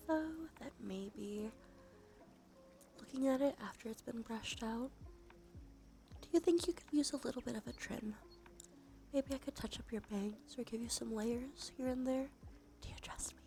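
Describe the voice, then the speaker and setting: A woman doing gentle, unhurried ASMR in a breathy whisper and soft murmur, her nose slightly stuffy. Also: fairly high pitch. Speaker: ASMR streamer, host. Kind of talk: ASMR, soft intimate role play and rambling. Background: soft music.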